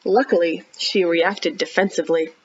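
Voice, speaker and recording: A woman leading a storytime for young children as a librarian, talking directly to the kids with questions and pauses. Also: lowish voice, fairly even intonation, slightly rough, dull audio. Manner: child-directed, asking questions and pausing for answers.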